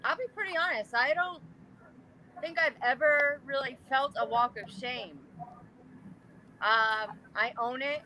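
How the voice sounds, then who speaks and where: A woman's voice: high-pitched, measured pace, long pauses, pushed and bright, slight background noise, low-quality recording. A woman in her forties, chatting casually and laughing as she tells a candid personal story. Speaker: guest panelist. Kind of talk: casual chat.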